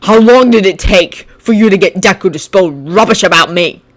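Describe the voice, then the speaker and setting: A narrator voicing a hot-tempered, aggressive teenage boy who hisses and yells his lines. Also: high voice, normal speed, voice pushed up, natural voice. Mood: angry. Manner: hissing, loud, defensive.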